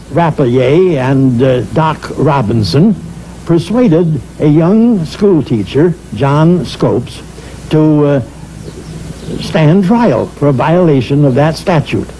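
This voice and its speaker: Older man, mellow and hesitant. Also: on the high side, animated, a little hiss or hum, poor audio. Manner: measured, hesitant with frequent uh's. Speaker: storyteller recalling history. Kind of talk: spoken historical recollection.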